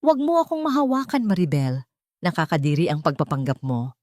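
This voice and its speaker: A woman's voice: on the low side, big pitch swings, fairly quick, darkish tone, dry recording, studio quality. A woman with a Filipino accent voicing a cruel, contemptuous army general husband in a story, with biting, commanding delivery. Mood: contemptuous, bitter.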